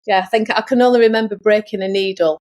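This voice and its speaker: A woman's voice: mid-range voice, fairly even intonation, fast talker, darkish tone. Chatty middle-aged English woman. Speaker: guest, sewing teacher. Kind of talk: casual conversational storytelling.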